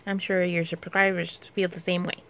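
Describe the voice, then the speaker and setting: A woman's voice: on the low side, level tone, normal speed, vocal fry, a bit bright, slight background noise. A woman chatting casually, giving short prompts as a helper to a reader. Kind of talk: casual chat with brief prompts.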